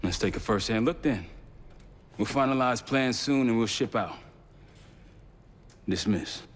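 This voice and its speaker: A man's voice: medium pitch, a little slow, a little hiss or hum, natural voice. An actor voicing a tough, wary American male team leader in a spy thriller video game, with a blunt, commanding manner. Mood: commanding, focused. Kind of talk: cinematic video game acting.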